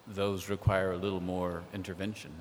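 A man talking as a literary translator on a panel, thoughtful and hesitant, with many pauses and ums. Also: low voice, little pitch movement, slight background noise. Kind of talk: panel discussion. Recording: echoey hall.

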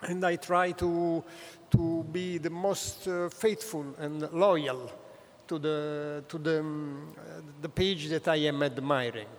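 A man from Naples with a Neapolitan Italian accent, a non-native speaker, talking haltingly as a translator guest on a panel. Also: on the high side, steady tone. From Naples, Italy. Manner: halting, searching for English words. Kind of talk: panel discussion. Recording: echoey hall.

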